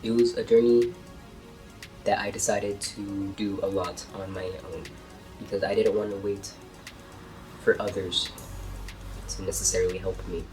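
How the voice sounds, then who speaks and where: A man's voice: fairly low pitch, little pitch movement, a little slow, pauses often. A man in his twenties with a cold and a congested voice, earnestly talking through personal experiences in a vlog. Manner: earnest, heartfelt, reflective. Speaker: vlogger. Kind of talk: personal vlog talk to camera. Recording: distant mic with soft music behind.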